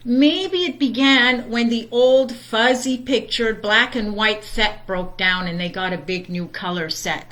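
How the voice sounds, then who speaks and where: A middle-aged woman reading a children's storybook aloud in an expressive way, with chatty asides. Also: fairly high pitch, a little slow, few pauses. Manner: chatty, expressive, easily distracted by greetings. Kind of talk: animated children's storybook read-aloud with casual bilingual asides.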